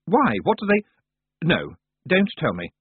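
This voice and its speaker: A man's voice: fairly high pitch, huge pitch swings, measured pace, slightly rough, dry recording, narrow, tinny audio, pitched above the performer's own voice, full character voice. A man voicing a determined but uneasy young boy hero in a storybook, speaking earnestly and tersely.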